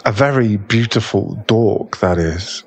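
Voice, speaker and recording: A man playing a young werewolf bar owner in an ASMR boyfriend roleplay, teasing but secretly tender, with a halting, stammering delivery. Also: lowish voice, slightly rough. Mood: tender, apologetic.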